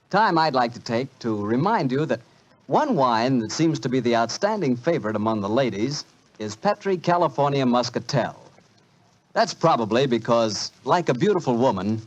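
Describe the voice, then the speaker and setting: A man announcing as a 1940s radio host, delivering smooth sales patter. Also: mid-range voice, rough recording.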